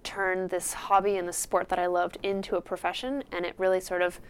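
Earnest woman with a smooth voice. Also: lowish voice, little pitch movement, fairly quick. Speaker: climber. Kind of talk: interview answer.

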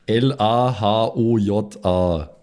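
A man's voice: on the low side, slow, darkish tone. A man chatting casually and jokingly as a player on a role-play podcast.

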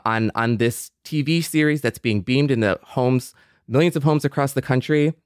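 A man's voice: medium pitch, smooth, no room sound, studio quality. A middle-aged American man in a casual panel discussion, telling anecdotes as an expert guest. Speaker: podcast panelist and Star Trek expert.

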